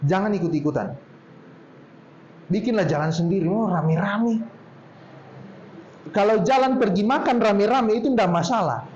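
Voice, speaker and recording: A man from Poso in Indonesia with a regional Poso accent, speaking casually to a group in a relaxed storytelling way and drawing out his words. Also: sits high, a little hiss or hum. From Poso, Central Sulawesi, Indonesia. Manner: chatty, drawn-out storytelling tone. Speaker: speaker at a talk. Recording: roomy, dull lo-fi sound with faint ambience.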